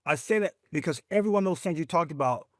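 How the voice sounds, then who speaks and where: A man with a slightly high voice talks in a podcast conversation about veterans' causes, in a dry, close recording. He tells stories with emphatic, animated drive and often speaks of faith. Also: very fast, dry recording. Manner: rising pitch when excited. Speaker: veteran advocate guest.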